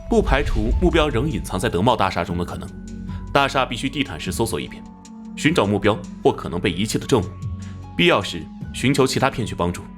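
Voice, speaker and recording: A resolute police captain in an animated crime drama. He speaks low and with authority, his intonation moving widely as he commands, over soft background music. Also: fast. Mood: brisk, commanding. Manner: firm, authoritative. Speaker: police captain giving orders. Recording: music behind.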